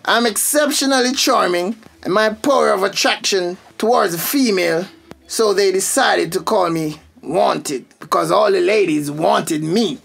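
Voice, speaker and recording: A man's voice: sits high, unhurried, vocal fry, a bit bright, exaggerated voice. An actor playing a vain, argumentative Jamaican ladies' man in a radio soap, boasting emphatically. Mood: smug, indignant.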